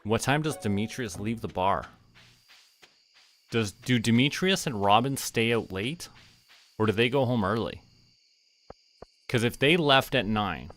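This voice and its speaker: A man giving relaxed game stream commentary, casually thinking aloud and talking to himself and the characters. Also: lowish voice, slight background noise. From Canada.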